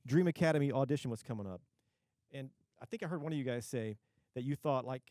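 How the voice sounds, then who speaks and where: Fast-talking excitable male radio host. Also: mid-range voice, no room sound, clean studio sound. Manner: fast, excitable, repeats words. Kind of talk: casual interview chat. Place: radio studio.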